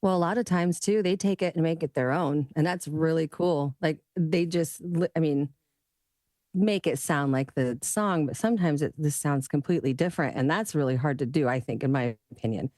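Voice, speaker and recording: A woman's voice: low-pitched, moderate pace, darkish tone, no room sound. A woman chatting on a studio podcast, easygoing and offering her opinion with light laughs. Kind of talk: casual podcast chat.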